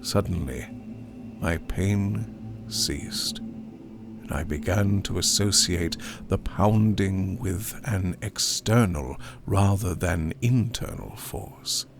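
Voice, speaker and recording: A man performing a haunted survivor in a dramatic gothic horror audiobook, grave and theatrical, with hushed near-whispered phrases. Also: low voice, slow, creaky, exaggerated voice. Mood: uneasy, intense. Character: haunted male survivor of an opium overdose, recounting horror. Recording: studio narration over music.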